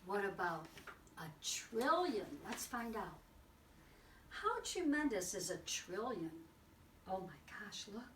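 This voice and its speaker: Slow-paced woman reading aloud, roomy distant sound. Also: mid-range voice. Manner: expressive, exclaiming, with dramatic pauses and asides. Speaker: teacher reading a picture book aloud. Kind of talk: read-aloud storytelling for children. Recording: roomy, distant mic with faint noise.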